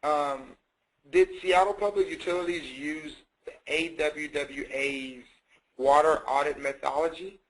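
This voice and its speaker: A man's voice: fairly high pitch, steady tone, measured pace, rough recording. A man moderating a webinar, casually relaying questions to panelists.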